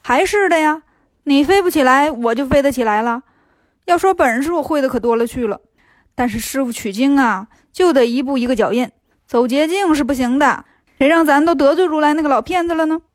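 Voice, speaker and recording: A woman voicing a cocky, teasing monkey king who talks briskly in a children's storybook. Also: sits high, dry recording, close to an everyday voice.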